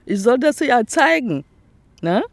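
A woman with a Congolese accent talks slowly and haltingly in a dry, close-sounding recording. Her first language is Teke, and she gropes for words in German, leaning on fillers. She is outdoors by a lake, chatting as the interviewee. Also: on the high side, sing-song, no echo. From Republic of the Congo. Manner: halting non-native German, searching for words with many fillers. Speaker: artist, interviewee. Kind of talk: casual interview chat.

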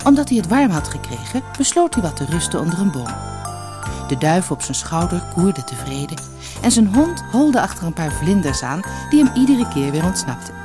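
A woman reading a fairy tale aloud as an expressive scripted storyteller. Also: lowish voice, normal speed, music in the background.

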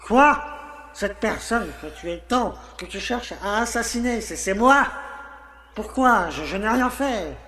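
A man's voice: high-pitched, expressive, unhurried, pushed and bright, exaggerated voice. An actor playing an indignant, self-pitying village mayor who stammers as he protests his innocence in a French audio drama. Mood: shocked, pleading. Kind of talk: French audio fiction acting. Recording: roomy, echoing sound.

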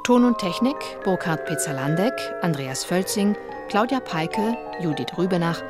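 A woman's voice: fairly low pitch, animated, moderate pace. A woman announcer reading scripted credits for a radio drama in a studio, with music playing. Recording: studio voice under loud music. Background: loud music with glockenspiel.

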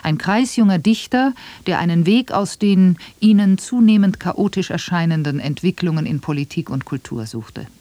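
Male documentary narrator, mellow tone, bit higher pitched, reflective, dry close sound. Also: lively intonation, normal speed, few pauses, no room sound. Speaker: radio feature narrator. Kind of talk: scripted documentary commentary.

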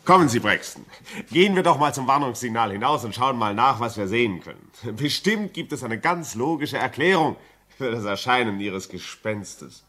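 A man's voice: medium pitch, lively intonation, normal speed, pushed and bright. An actor playing a rational, skeptical man who admonishes firmly and emphatically, in a 1950s German radio drama. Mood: firm persuasion. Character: rational, brisk skeptical visitor, firmly urging calm. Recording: early 1950s radio play recording.

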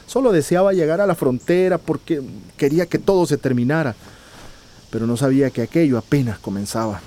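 A man's voice: on the high side, brisk, warm tone, close to an everyday voice. An actor playing an anxious, traumatized migrant man in a radio drama, emotional, hesitant and pleading. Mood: somber.

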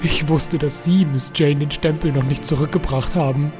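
A man's voice: fairly high pitch, steady tone, moderate pace, over music, natural voice. A man playing a fussy, lovesick, scheming bureaucrat in a comic audio drama, officious and melodramatically pleading. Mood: lovesick confessing.

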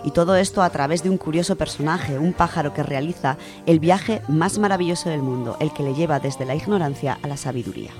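A woman with a Spanish accent from Spain tells children's stories on the radio. Her voice sits a little low and her reading is expressive and theatrical. Music plays faintly behind her. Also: brisk, few pauses. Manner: expressive, dramatic when reading. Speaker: radio storytelling contributor. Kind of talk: children's book reviews and expressive story reading. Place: radio studio.

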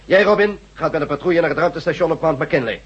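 A man playing an impatient, sardonic resistance leader in a Dutch radio drama, brisk and authoritative. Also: a little high, breathy edge. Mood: brisk, commanding. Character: commanding, impatient male leader of a resistance group. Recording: dull, muffled radio play recording.

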